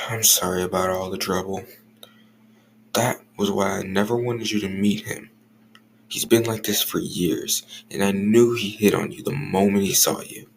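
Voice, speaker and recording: Man acting a gravelly, stern, jealous boss. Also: deep voice, fairly even intonation, measured pace, a little hiss or hum, rough recording. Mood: exasperated, protective. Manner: curt, strict, guarded. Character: stern, jealous, irritable male office boss. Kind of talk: scripted audio roleplay acting.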